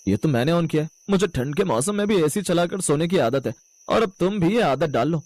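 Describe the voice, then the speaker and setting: A man's voice: fairly high pitch, lively intonation, fairly quick, a little mellow. An actor playing a stubborn, matter-of-fact family man in storybook dialogue. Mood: dismissive. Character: matter-of-fact husband and in-law, stubborn about habits.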